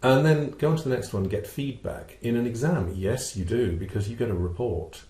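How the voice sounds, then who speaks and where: A middle-aged man presenting a webinar as a vocal coach, explaining step by step in a measured way, posing questions and answering them. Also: mid-range voice, dark tone. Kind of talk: explanatory teaching talk with a co-presenter. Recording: roomy, reverberant sound.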